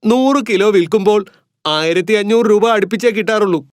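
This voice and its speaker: A man's voice: high voice, a little fast, no echo, natural voice. A man voicing a plain-spoken middle-aged village farmer and father-in-law in a cartoon moral story. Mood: resigned.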